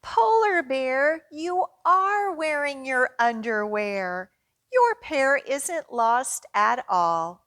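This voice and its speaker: A woman's voice: high-pitched, animated, drawn-out words, a bit bright, no echo, studio quality, pitched above the performer's own voice, exaggerated voice. A woman voicing a helpful, curious little mouse from a children's picture book, with a bouncy, questioning delivery. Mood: surprised.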